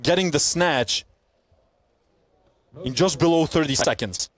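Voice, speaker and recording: A young man from Belgium hosting a live sports stream, chatting with viewers and calling the play with rising excitement. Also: on the high side, a little slow. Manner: chatty, reads out chat messages, laughs often, excited during play. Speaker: livestream commentator. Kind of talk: casual stream chat and play-by-play commentary.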